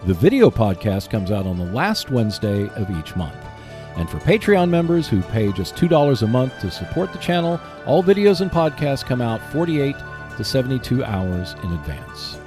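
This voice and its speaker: A man hosting a hobby podcast, reading scripted announcements in a measured, clearly enunciated way. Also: medium pitch, sing-song, music in the background. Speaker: podcast host.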